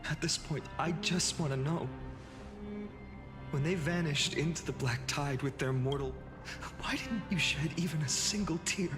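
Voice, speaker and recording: A man voicing a weary, sorrowful, quietly wrathful hero in a dramatic video game monologue, solemn and deliberate. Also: fairly high pitch, close to an everyday voice. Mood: accusing, intense. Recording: echoey long reverb, music underneath. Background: dramatic game music.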